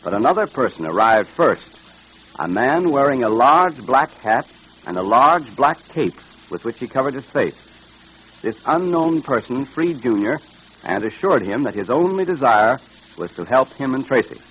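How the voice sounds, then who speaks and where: A man's voice: mid-range voice, sing-song, unhurried, fairly bright tone, slight background noise, low-quality recording. A man with an American accent delivering scripted 1940s radio announcing in an emphatic, salesmanlike way.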